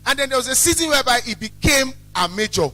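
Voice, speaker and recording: A young man with a Nigerian accent and a loud, carrying voice, giving an emphatic, blunt motivational talk as a business owner. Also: extremely high pitch, expressive, quick pace, soft background music. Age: young adult. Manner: emphatic, blunt, repeats phrases for effect. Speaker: small chops business owner, guest speaker. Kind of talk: motivational talk to a student audience.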